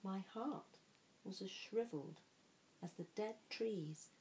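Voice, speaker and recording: A woman storyteller narrates a picture book at a slow pace in a mid-pitched voice. Her delivery is expressive and deliberate, with some phrase endings softened into a hush. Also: a little hiss or hum, poor audio. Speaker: storyteller reading aloud.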